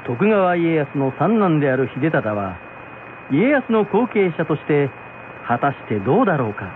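Lively male folktale narrator. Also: a little high, normal speed, a little hiss or hum. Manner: polite traditional storytelling delivery. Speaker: storyteller, narrator. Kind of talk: scripted folktale narration for radio. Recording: tinny radio broadcast, music behind.

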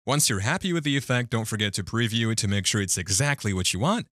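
A man narrating a scripted step-by-step phone app tutorial in an even instructional delivery. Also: fairly low pitch, fast talker, dry recording, clean studio sound. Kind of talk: scripted screen-recording voice-over.

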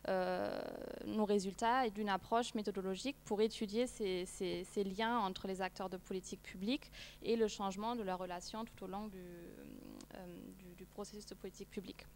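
A woman's voice: mid-range voice, big pitch swings, normal speed, slight background noise. A woman in her thirties, a non-native French speaker, presenting a research project at a seminar, with many fillers and restarts. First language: not French. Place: seminar room, seated at a long table.

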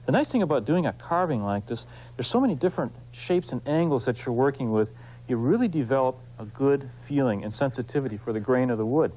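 A man hosting an instructional craft demonstration, explaining informally and in a folksy, unhurried way while he works with his hands. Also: mid-range voice, expressive, warm tone, poor audio. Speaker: woodcarving instructor, show host.

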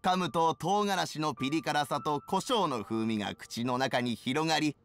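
A man voicing a dignified elderly food judge in an anime game, measured, with old-fashioned turns of speech. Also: fairly high pitch, a bit bright, faint music behind. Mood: impressed.

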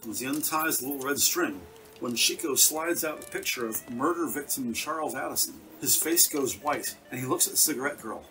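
Male narrator, scripted crime-story read, medium pitch, slightly distant, instrumental music underneath. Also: a little fast, sharp tone. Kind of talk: scripted present-tense detective story narration.

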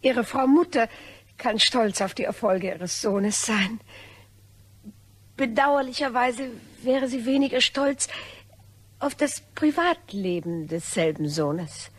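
A woman playing a polite, desperate blackmailer in a German crime radio play, coy and hesitant, with a slightly husky voice. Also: a little high, slow pace. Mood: sweetly menacing. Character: polite, desperate female blackmailer, probing and apologetic.

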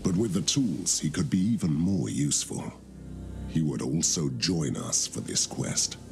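An actor playing a grave, lordly medieval knight in a fantasy game, speaking in a measured, solemn way. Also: male voice, extremely low pitch, sing-song, vocal fry, soft background music, exaggerated voice. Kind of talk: scripted game voice acting.

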